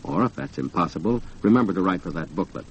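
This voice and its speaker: An American man working as announcer and co-host on a 1940s radio program, with a polite, measured delivery. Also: deep voice, animated, husky. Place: radio studio. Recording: muffled, noisy 1940s radio broadcast recording.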